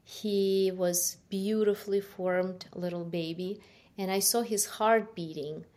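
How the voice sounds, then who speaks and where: A middle-aged woman from Ukraine with a Ukrainian accent, giving her personal testimony as a guest in a slow, halting, soft-spoken way. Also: medium pitch, steady tone.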